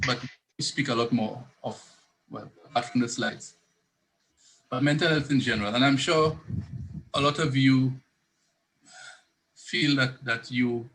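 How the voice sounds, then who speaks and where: Male psychiatrist in his thirties, bright voice, careful and self-correcting. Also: medium pitch, slight background noise, rough recording. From Saint Lucia. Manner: earnest, self-correcting, rephrases as he goes. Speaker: psychiatrist guest. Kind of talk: explanatory interview talk.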